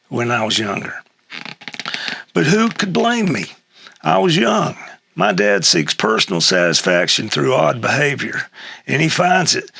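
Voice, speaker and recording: An older man with an American accent and a gravelly, husky voice, reading a humorous personal essay aloud in a halting way. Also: on the high side, sharp tone, no room sound. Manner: halting, with throat clearing and chuckles.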